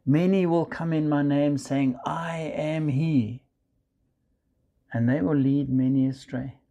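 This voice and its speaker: South african guy preaching slow, dark mellow voice, reads scripture out. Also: on the high side, fairly even intonation, gravelly, dull audio. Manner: measured, deliberate preaching with scripture quotes. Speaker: pastor. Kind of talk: sermon.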